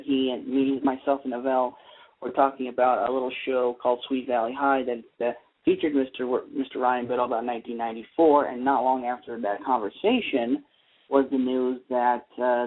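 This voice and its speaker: A man's voice: mid-range voice, a little hiss or hum. A man calling in to a radio show, telling anecdotes in casual chat. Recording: thin phone call to radio.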